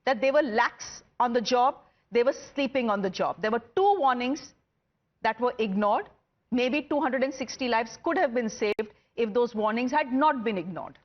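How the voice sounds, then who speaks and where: Indian-accented woman, news debate host, high pitch, rhetorical emphatic delivery, muffled band-limited audio. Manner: emphatic, rhetorical questioning with dramatic pauses. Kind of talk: scripted news monologue to camera.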